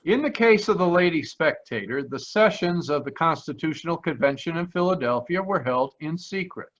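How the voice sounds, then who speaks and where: A man narrating an old-time radio mystery as the detective himself, in a measured voice a touch higher than most. The sound is slightly dull, as from a home microphone on a video call. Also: expressive. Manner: measured first-person storytelling. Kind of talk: 1940s radio drama narration.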